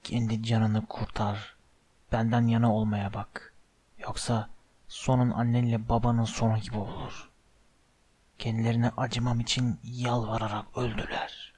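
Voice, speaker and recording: A man voicing a sinister, bodiless dark wizard in an audiobook, with a slow, menacing, hissing hush. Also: medium pitch, little pitch movement, pitched below the performer's own voice. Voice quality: hushed, breathy hiss.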